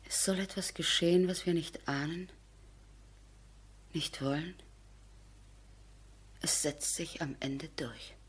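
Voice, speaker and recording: Grieving noble lady in radio drama, low voice, super breathy, resolute, nearly whispering at times. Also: takes it slowly, pauses often, exaggerated voice. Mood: anguished, resolute. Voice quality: very breathy, often near whisper. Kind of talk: German radio play acting.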